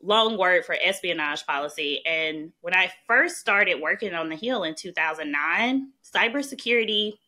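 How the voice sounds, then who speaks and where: Woman, Southern accent, low-ish pitch, bright and forceful tone, chatty interview guest. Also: animated, moderate pace, few pauses. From Mississippi, US. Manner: chatty storyteller, frequent "um" and "right?". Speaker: podcast guest, policy expert. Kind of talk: conversational interview.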